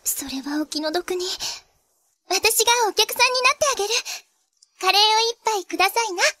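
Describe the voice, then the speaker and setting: A woman's voice: extremely high pitch, expressive, fairly quick, sharp tone, dry recording, clean studio sound, exaggerated voice. A woman voicing a cute, sweet young schoolgirl princess in an anime, with girlish, cutesy, polite phrasing. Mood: cheerful, excited. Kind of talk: anime voice acting.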